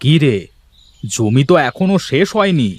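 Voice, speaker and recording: Cartoon village landowner, acted by a man: stingy, impatient, scolding, very animated pitch, unhurried pace. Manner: scolding, bossy. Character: stingy, impatient village landowner hurrying his hired hand. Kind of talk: Bengali cartoon folk-tale voice acting.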